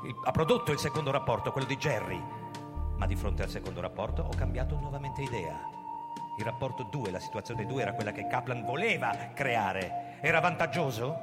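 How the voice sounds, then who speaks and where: An actor playing a weary, proud old police chief, telling his story in a theatrical, deliberate radio drama style. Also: medium pitch, expressive, lightly acted. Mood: emphatic, lucid. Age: older. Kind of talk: dramatic radio play narration and dialogue over music. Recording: long hall reverb, loud music underneath. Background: loud music.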